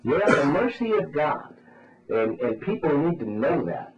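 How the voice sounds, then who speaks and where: A man's voice: on the high side, very animated, normal speed, over a noisy crowd. A man being interviewed by phone as a radio ministry spokesman, speaking in an insistent, repetitive, fervently preaching way. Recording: muffled, band-limited radio phone interview.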